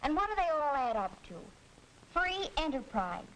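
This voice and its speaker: A woman's voice: high-pitched, sing-song, normal speed, fairly bright tone, poor audio. A woman playing an earnest American student in a scripted 1940s educational film discussion. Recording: hissy 1940s film soundtrack.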